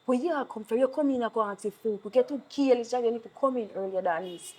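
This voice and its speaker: A woman's voice: on the high side, quick pace, a little mellow, slightly rough, poor audio. An actress playing a worried young woman with a Jamaican accent, insistently reproaching and pleading with her wayward brother. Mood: stern, worried.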